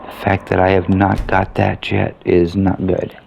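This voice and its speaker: A man's voice: low-pitched, monotone, unhurried, vocal fry, a little mellow. A man giving casual, laid-back commentary as he plays a video game, half talking to himself. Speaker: gamer and video host. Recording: faint background noise.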